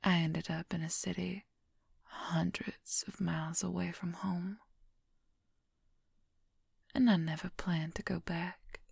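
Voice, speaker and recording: A woman acts out a possessive young werewolf woman in an ASMR role-play. She whispers very slowly and intimately, with a husky, breathy voice that crackles with heavy fry. The sound is close and dry. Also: lowish voice, flat delivery, darkish tone, no room sound, full character voice. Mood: confiding, wistful.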